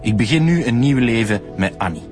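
A touchy ex-convict with a Flemish accent, played by a man. He answers questioning curtly and defensively. His voice sits at an ordinary middle pitch, with music playing under the scene. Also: animated, a little fast, natural voice. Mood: earnest. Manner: defensive, curt. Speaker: ex-convict suspect. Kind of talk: radio drama acting.

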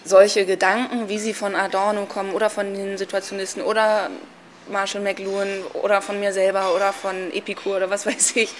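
Even-toned woman thinking aloud. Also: mid-range voice, fairly quick, slight background noise. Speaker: interviewee. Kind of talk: casual reflective talk.

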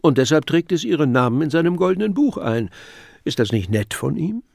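An actor playing an aggrieved, self-important festival impresario in a declamatory radio drama manner. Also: male voice, on the high side, expressive, brisk, gravelly, a little mellow, no room sound, clean studio sound. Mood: indignant, proud.

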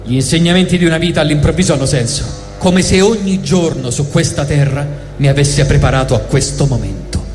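Male monologuist, Roman accent, high register, sarcastic mock-epic delivery, music under, long reverb. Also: fairly quick, a little mellow. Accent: Italian, Roman. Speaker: storyteller. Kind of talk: comic first-person monologue reading.

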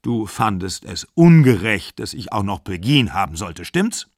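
A man's voice: on the low side, sing-song, unhurried, dry recording, clean studio sound, exaggerated voice. A man voicing a furious, bitter aging actor of sixty-five who rants and accuses. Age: 60s. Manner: ranting, accusing, shouting.

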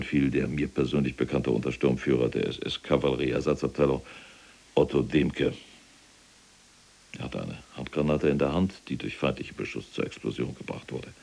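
Pompous, deep, husky German general. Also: male voice, darkish tone, full character voice. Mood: grave, reporting. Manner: pompous, lecturing, indignant when contradicted. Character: imprisoned SS general, fanatical, vain, self-justifying older man. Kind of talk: German audio drama acting, monologue and argument.

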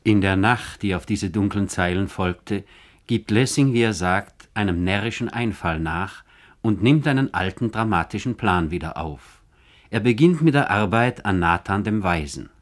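A man narrates a literary radio feature in a fairly low voice. The delivery is scripted, measured and formal throughout. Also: lively intonation.

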